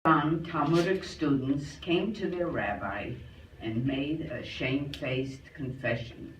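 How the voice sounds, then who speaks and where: A woman's voice: sits low, slow. A woman reading a humorous tale aloud at a live reader's theater, recorded from a distance in a roomy hall. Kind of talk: joke storytelling, reading aloud. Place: clubhouse hall, live performance. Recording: roomy hall, distant mic, faint noise.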